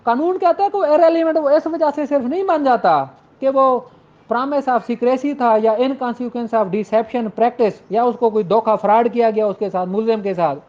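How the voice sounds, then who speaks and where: A man's voice: extremely high pitch, normal speed. A middle-aged man with a Pakistani accent giving an informal, explanatory law lecture in a conversational, familiar way. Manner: conversational, addresses listeners as his children and siblings. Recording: dull lo-fi audio.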